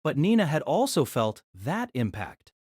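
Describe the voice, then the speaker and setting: Expressive woman narrator with lively pitch. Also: measured pace, husky, dry recording, studio quality. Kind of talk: dramatic scripted narration of a novel.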